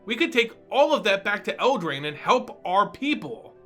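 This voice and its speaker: A man's voice: high-pitched, big pitch swings, moderate pace, bright, forceful tone, soft background music, pitched above the performer's own voice, full character voice. A man voicing an earnest, worried, studious young twin brother who insists, in a dramatic fantasy story reading. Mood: insistent.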